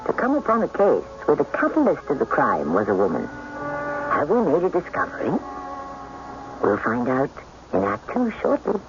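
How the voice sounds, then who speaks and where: A man hosting a radio mystery series, delivering scripted narration in a measured, literary and dramatic manner. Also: mid-range voice, wildly swooping pitch, very noisy. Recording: muffled radio broadcast with music.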